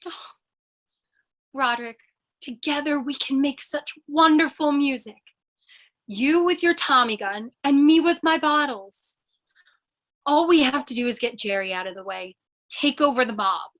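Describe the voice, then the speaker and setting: A woman playing a quick-witted, scheming captive in a radio play, theatrical and persuasive. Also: high-pitched, a bit bright. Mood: brazen, seductive. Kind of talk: 1940s radio comedy-thriller acting.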